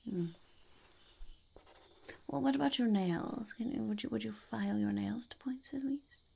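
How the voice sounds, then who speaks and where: A woman voicing an eccentric, self-important goblin conducting a whispered ASMR interview, halting and fussy, with a creaky, gravelly voice. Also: on the high side, a little mellow, full character voice. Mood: probing, quizzical. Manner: halting, fussy, self-repeating, refers to self in third person. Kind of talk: ASMR roleplay, whispered and soft-spoken interview.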